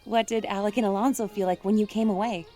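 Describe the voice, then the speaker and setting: Woman doing a young student girl, teasing, dry, mellow warm voice. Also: mid-range voice, fairly even intonation, quick pace, faint music behind, natural voice.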